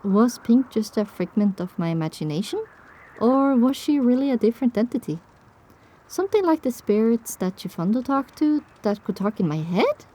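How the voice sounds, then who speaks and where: Dark, mellow female story narrator. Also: fairly high pitch, sing-song, moderate pace, poor audio. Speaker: audiobook narrator. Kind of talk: scripted first-person story narration.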